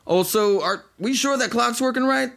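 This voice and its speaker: An actor playing a cocky, theatrical vampire rock star, telling boastful and flippant stories in a podcast drama. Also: male voice, very high-pitched, moderate pace, gravelly, fairly bright tone, heavily acted. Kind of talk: fiction podcast acting, mock interview. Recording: music playing behind the voice.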